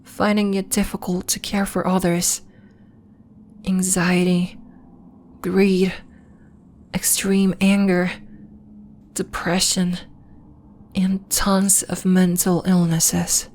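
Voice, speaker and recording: A woman playing a serene, solemn alien moon healer in an ASMR roleplay, speaking soothingly in formal, deliberate phrases. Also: fairly low pitch, little pitch movement, a little mellow, a little hiss or hum. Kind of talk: ASMR roleplay, soft scripted monologue addressed to listener.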